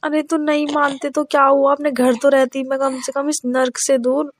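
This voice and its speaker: A woman's voice: sits high, flat delivery, normal speed, close to an everyday voice. A woman playing a resentful young eloped wife in an audio drama, complaining reproachfully to her husband in natural conversational dialogue. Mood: aggrieved. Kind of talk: improvised-sounding domestic dialogue in an audio story.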